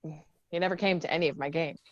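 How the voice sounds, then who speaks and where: A woman's voice: low voice, steady tone, brisk, muffled recording, a little hiss or hum, natural voice. A woman reading the part of a tough, guarded young female Marine lieutenant in a screenplay table read, with blunt, sardonic delivery. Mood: guarded, reflective. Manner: blunt, swearing, defiant.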